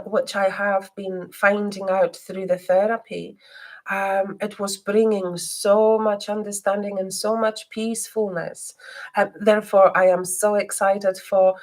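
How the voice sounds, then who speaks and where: A woman who learned English as a second language talks slowly, and a faint Scottish twang colors her words. She hesitates constantly, with ums and restarts. When she leads a reading, her voice turns soft and guiding. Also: mid-range voice, steady tone. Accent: non-native English with a slight Scottish twang. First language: not English. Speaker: psychic reader and live stream host. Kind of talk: live stream chat and guided reading.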